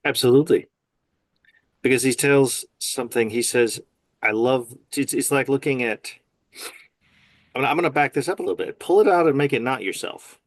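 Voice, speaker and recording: A man's voice: medium pitch, normal speed, pauses often, no echo, clean studio sound. A man speaking as a guest in a casual faith conversation, earnest and emphatic, often agreeing firmly. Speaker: guest, nurse.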